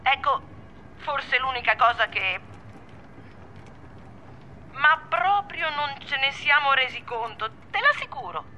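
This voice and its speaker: An actress playing a rattled, defensive woman on a phone call in a radio drama, talking in a halting, repetitive, evasive way. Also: high voice, animated, pushed and bright, a little hiss or hum, full character voice. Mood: defensive, hesitant. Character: rattled, defensive woman gossiping about someone close.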